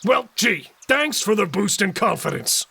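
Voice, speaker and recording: Guy doing gravelly young survivor dude, bright tense voice, earnest. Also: high-pitched, big pitch swings, unhurried, full character voice. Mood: sarcastic. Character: Cole, young male survivor, ex-delivery driver.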